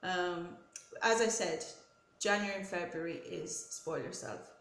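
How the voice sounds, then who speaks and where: A woman's voice: fairly low pitch, unhurried, a bit bright. A woman hosting a knitting podcast with a head cold, talking in a friendly, rambling show-and-tell way with many ums and self-corrections. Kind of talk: casual chatty show-and-tell. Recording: roomy echo with faint noise.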